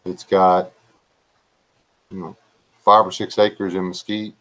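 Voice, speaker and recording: A man with a drawl chats with his live stream viewers, wandering from one story to the next. His voice sits fairly low and moves slowly and evenly, so calm that it can sound either soothing or dull. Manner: slow, drawling, low-key voice that viewers call relaxing or boring. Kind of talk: rambling casual live chat.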